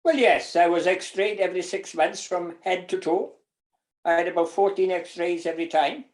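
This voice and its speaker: A man in his seventies, a guest recalling things casually in conversation, halting and repeating his words. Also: high voice, steady tone. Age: 70s. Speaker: interview guest.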